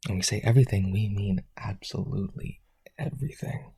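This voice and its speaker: A man playing a powerful, rich vampire aristocrat, desperately devoted, with breathy, raspy, intense and coaxing speech in a roleplay audio. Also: fairly low pitch, warm tone, dry recording, exaggerated voice. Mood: adoring. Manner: intense, coaxing, begging, halting.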